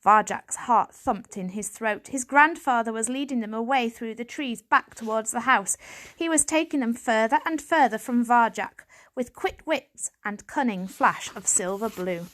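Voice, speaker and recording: A woman's voice: fairly high pitch, moderate pace, fairly bright tone. A woman reading a children's audiobook as a dramatic scripted narration. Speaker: audiobook reader.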